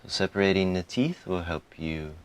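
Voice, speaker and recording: Very deep-voiced man guiding meditation. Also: clear, resonant tone. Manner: calm, soothing, with frequent pauses between short phrases. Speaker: meditation guide. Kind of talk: guided meditation, softly spoken instruction.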